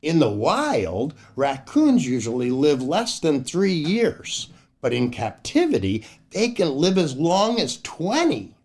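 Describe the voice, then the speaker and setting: An older American man with a fairly high, very lively voice tells stories to children. He takes his time and addresses his young audience in a theatrical way, with light music behind him. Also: slow, slightly rough. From United States. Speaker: children's storyteller and show host. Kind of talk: expressive children's story read-aloud.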